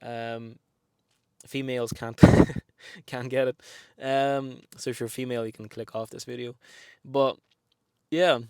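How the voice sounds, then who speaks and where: A man's voice: medium pitch, fairly even intonation, measured pace, smooth, no room sound. A man in his twenties from Lithuania, speaking English with a Lithuanian accent, telling a personal story in a chatty, frank way with many fillers. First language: Lithuanian and Russian. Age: 20s. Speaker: vlogger. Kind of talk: casual storytelling to camera.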